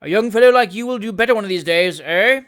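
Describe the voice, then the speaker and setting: A reader voicing a jovial, hearty old country gentleman who talks loudly and cheerfully in an audiobook. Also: lively intonation, fast talker, close to an everyday voice. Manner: boisterous, loud, booming cheer. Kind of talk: audiobook character reading.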